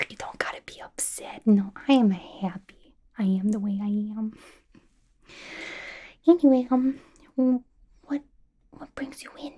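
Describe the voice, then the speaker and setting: A woman performs a manic young female asylum villain in a whispered ASMR roleplay. The voice is breathy and soft-edged, fairly high, with an unhurried delivery. She gossips in playful slang, chummy and mischievous, as if sharing secrets. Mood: manic, defensive.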